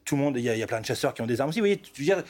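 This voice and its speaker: A man's voice: mid-range voice, animated, racing, a little mellow. A man from northern France, a police union delegate, answering viewer questions in a studio debate with many fillers and restarts.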